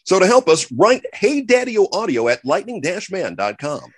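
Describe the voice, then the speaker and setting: A man's voice: high voice, big pitch swings, moderate pace, husky. A man doing scripted, lightly comic announcements and sponsor plugs as a producer of an audio show.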